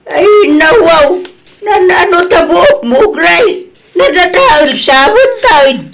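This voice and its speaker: A woman with an Ilocano accent playing an exasperated, defensive village hairdresser in an audio drama, with expressive exclamations. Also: very high-pitched, takes it slowly, full character voice, rough recording. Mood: alarmed, exclamatory. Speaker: drama voice actor.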